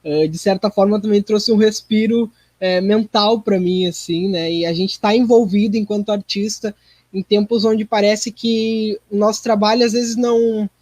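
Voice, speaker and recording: A man's voice: high voice, steady tone, normal speed, darkish tone, no room sound. A man, talking informally and reflectively as an interview guest. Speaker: performing artist, interview guest. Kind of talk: casual reflective interview talk.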